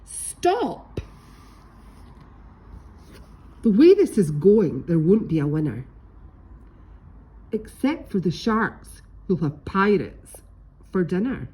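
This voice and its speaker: A woman's voice: mid-range voice, sing-song, slow, dark tone. A woman voicing a feisty, fed-up female parrot in an exclaiming, exasperated picture-book reading. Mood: bossy.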